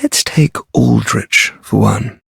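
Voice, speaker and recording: A man voicing a bitter, defeatist warrior in a fantasy game, gravelly and husky, with a sneering, world-weary drawl. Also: on the low side, slow, fairly bright tone, dry recording, clean studio sound, full character voice. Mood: mocking. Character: bitter, defeatist, sardonic male deserter warrior.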